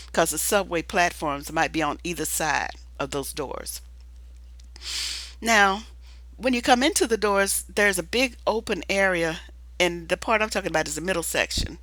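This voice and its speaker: A hoarse woman who is losing her voice talks in a casual, rambling monologue, restarting often and filling pauses with "uh" and "um". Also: fairly low pitch, a bit bright, no echo. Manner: rambling, restarts with many fillers. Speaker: video commentator.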